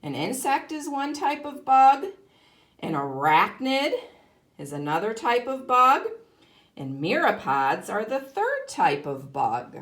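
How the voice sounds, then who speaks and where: A woman teaching young children in a read-aloud lesson, explaining simply and deliberately, with emphasis and questions to the listener. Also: on the high side, very animated, fairly bright tone. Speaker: kindergarten teacher.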